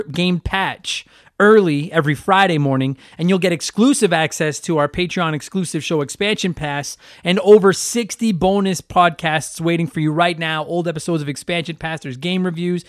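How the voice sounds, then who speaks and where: A man's voice: fairly high pitch, no room sound, clean studio sound. A man hosting a podcast, stuffed up from allergies, talking in a rambling, profane, self-deprecating way. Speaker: podcast host. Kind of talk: casual podcast intro and plugs.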